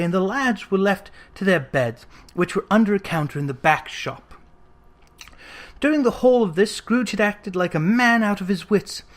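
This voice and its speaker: A narrator reading Victorian fiction aloud in an expressive, theatrical storytelling manner. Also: medium pitch. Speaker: audiobook reader.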